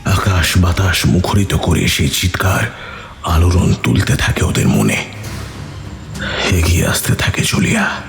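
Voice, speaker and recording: A man narrating a scripted horror story in a dramatic, breathy, suspenseful manner. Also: low voice, animated, a little slow, pauses often. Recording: music under the voice.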